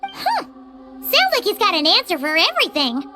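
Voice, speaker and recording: An extremely squeaky little companion chirps in a fantasy game. The voice is childlike, blunt and caring, bouncing widely in pitch. Prominent music plays behind it. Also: female voice, wildly swooping pitch, moderate pace, heavily acted. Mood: skeptical. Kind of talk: scripted video game dialogue. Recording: loud music under the voice.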